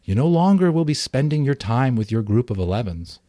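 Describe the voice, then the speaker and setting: A man's voice: medium pitch, very animated, a little fast, no room sound, clean studio sound, voice pushed up, lightly acted. A man voicing a caring, earnest mother in a storybook, explaining things patiently. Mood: cautioning. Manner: patient, explanatory, gently advising.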